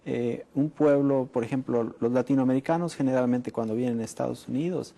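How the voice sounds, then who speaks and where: Mexican doctor, calm and mellow. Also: male voice, medium pitch, vocal fry. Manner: measured, frequent "eh" pauses. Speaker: public health doctor, guest. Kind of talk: studio interview, explanatory.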